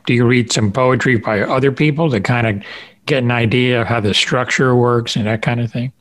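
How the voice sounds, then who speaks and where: A man's voice: medium pitch, fairly even intonation, brisk, fairly bright tone. A man hosting a podcast interview in an informal, affable and encouraging conversational way. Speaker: podcast host.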